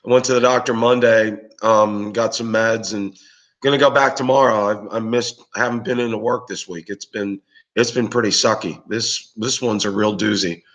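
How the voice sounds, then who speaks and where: Raspy, hoarse man, low voice. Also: fairly even intonation, moderate pace, a bit bright, highs cut off. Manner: rambling, with many fillers and restarts. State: hoarse from bronchitis. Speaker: livestream show host. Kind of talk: casual live-stream chat with viewers.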